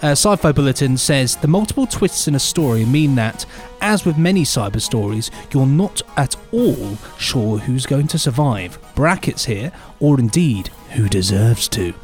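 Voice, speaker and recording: A man's voice: medium pitch, moderate pace, few pauses, soft background music. A man co-hosting an audio drama podcast in casual, jokey chat. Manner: jokey, lively, trailing off into mumbles. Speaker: podcast co-host.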